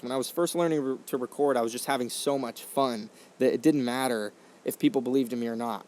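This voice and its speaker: A man's voice: mid-range voice, dark tone. A man in his twenties hosting a podcast, telling his own story in an earnest, reflective way with many fillers and restarts, into a condenser microphone. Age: 20s. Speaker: podcast host. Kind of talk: casual storytelling monologue.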